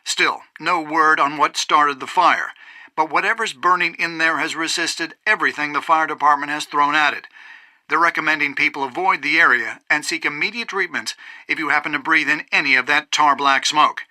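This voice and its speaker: A man's voice: fairly high pitch, normal speed, pushed and bright, dry recording. An actor playing a polished male news anchor, reading scripted bulletins for a game in an emphatic, projected newsreader delivery. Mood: serious, urgent.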